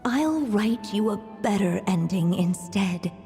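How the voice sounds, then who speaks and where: A woman narrating a fairy tale in a video game with a measured storyteller cadence. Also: medium pitch, lively intonation, mellow. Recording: long hall reverb. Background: faint game music.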